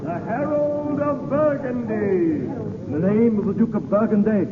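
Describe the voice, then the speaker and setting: In a reverberant, tinny old radio play, a haughty, menacing male herald. He proclaims formally in a high, animated voice with a 1930s theatrical flourish. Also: measured pace, crowd noise. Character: haughty, threatening herald of an enemy duke. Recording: noisy, echoing, narrow-band 1930s radio broadcast.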